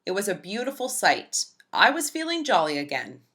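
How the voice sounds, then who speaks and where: Female storyteller for kids' picture books: lively, swooping delivery, bright forward tone, emphatic phrasing. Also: medium pitch, moderate pace. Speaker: read-aloud storyteller. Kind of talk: expressive children's picture book read-aloud.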